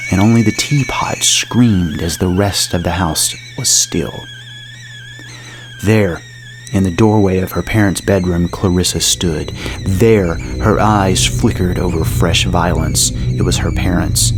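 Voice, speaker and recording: Low-voiced man narrating horror. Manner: measured, literary, ominous storytelling. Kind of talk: dramatic horror story narration. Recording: music behind the voice. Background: soft music.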